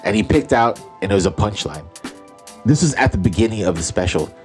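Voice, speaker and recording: A man's voice: lowish voice, brisk, soft background music. A man co-hosting a podcast in casual chat, making his points with emphasis and questions. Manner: animated, argues with emphasis, asks questions. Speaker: podcast co-host.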